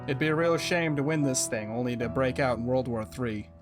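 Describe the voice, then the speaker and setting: A man's voice: medium pitch, brisk, over music, close to an everyday voice. A man playing a breezy, casual sailor in an amateur audio drama. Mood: serious. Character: breezy, teasing male sailor.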